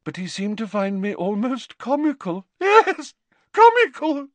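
Guy doing a haughty spooky fortune-teller lady, high and swoopy, very theatrical. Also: lively intonation, moderate pace, darkish tone, no room sound, lightly acted. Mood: aggrieved dramatic. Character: eccentric haughty middle-aged woman seer, dramatic.

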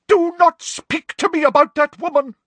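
A man's voice: lively intonation, slow, fairly bright tone, no echo, exaggerated voice. A man doing a hysterical, theatrical old seer woman. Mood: furious. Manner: high, hysterical, throbbing and theatrical. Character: overwrought eccentric older female seer teacher.

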